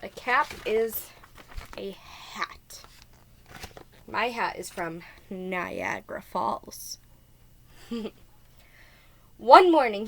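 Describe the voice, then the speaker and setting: A woman preschool teacher reading a children's storybook aloud with asides, expressive and deliberate, stressing key words. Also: on the high side.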